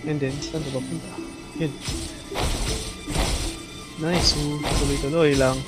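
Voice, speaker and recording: A man's voice: on the high side, level tone, unhurried. A man with a Filipino accent, a native Tagalog speaker, giving casual, chatty livestream commentary on a game. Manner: chatty, switches between Tagalog and English. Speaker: game streamer. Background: game music.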